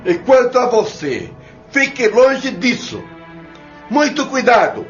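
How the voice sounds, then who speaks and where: A vengeful ghost of a man, with a very high, forceful voice. He spits out menacing threats full of hatred, slowly and deliberately, in a dull audio drama recording with music behind. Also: huge pitch swings, full character voice. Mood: menacing fury. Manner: menacing, shouted, slow threats. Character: vengeful, hate-filled spirit of a man, tormenting his victim.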